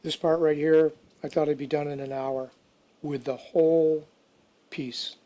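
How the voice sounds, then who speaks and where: Man with an even, barely varying pitch. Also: unhurried. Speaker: DIY restoration video narrator. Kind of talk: casual how-to narration.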